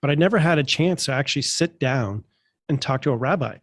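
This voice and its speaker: A man's voice: medium pitch, expressive, a little fast, dry recording, clean studio sound. A man hosting a podcast, chatty and enthusiastic with lots of fillers, sounding a bit congested from allergies. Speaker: podcast host. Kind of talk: casual interview.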